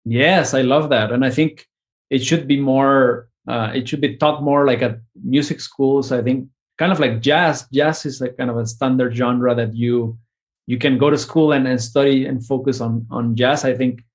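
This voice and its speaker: A man speaking, at a middle pitch for a man. He talks about his work in a thoughtful, searching way and often restarts phrases. His sentences tend to end on a questioning "no?". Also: fairly even intonation, moderate pace. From Mexico. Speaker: composer. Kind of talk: conversational interview.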